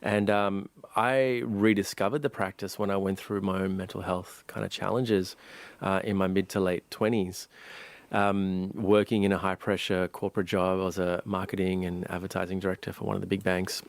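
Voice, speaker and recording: A man from Sri Lanka, a meditation teacher, telling his story in an interview, calm and thoughtful with frequent pauses and restarts. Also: low voice, creaky, no echo.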